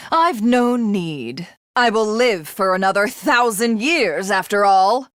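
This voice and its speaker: An actress voicing an arrogant, cruel video game villainess who craves godhood, with haughty, grandiose and menacing formal diction. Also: female voice, medium pitch, lively intonation, takes it slowly, a bit bright, dry recording, studio quality. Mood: mocking menace.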